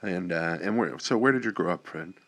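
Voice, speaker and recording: A man in his fifties hosting a podcast interview, easygoing and quick to agree. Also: low voice, a bit bright. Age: 50s. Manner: easygoing, lots of fillers and agreeing "yeah". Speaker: podcast host. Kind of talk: casual interview.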